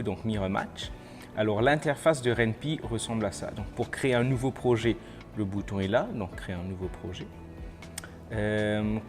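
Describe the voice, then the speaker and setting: A man presenting a software tutorial in an informal, step-by-step, explanatory way. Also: lowish voice. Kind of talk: casual software tutorial, screen walkthrough.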